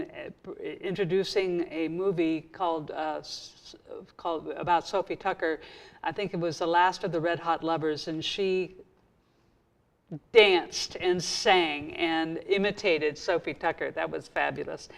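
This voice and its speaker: Halting woman host, lowish voice. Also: vocal fry. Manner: halting, searching for words. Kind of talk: community TV interview.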